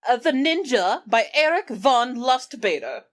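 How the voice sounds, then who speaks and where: A woman's voice: fairly high pitch, expressive, unhurried. A woman in an improvised comedy chat, reading passages aloud between bits of banter. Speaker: book club podcast performer reading aloud.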